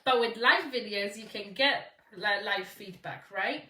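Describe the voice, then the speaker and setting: Bright Polish-accented woman coaching on a live stream. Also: medium pitch, measured pace, reverberant, recorded from a distance. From Poland. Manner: encouraging, reads out viewer comments, frequent "right?". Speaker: business coach. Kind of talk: live-stream talk to viewers.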